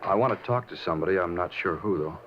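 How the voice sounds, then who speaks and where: A man's voice: sits low, quick pace, slight background noise, natural voice. An actor playing a nervous, evasive young man in a 1950s radio drama, hesitant, guarded and repeating himself. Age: young adult. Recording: dull 1950s radio recording.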